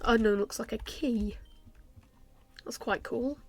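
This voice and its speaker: A young woman with a stuffy nose from a cold, casually commenting on her game and thinking aloud with many ums and soft laughs. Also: a little high, steady tone, slow. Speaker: gaming YouTuber.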